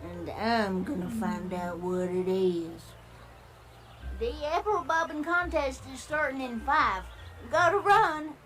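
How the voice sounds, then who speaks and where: A woman's voice: fairly high pitch, slow. A woman voicing a feisty, suspicious elderly granny pony in a cartoon comic dub, with a folksy drawl. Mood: determined. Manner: folksy drawl, scolding, animated. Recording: loud music underneath.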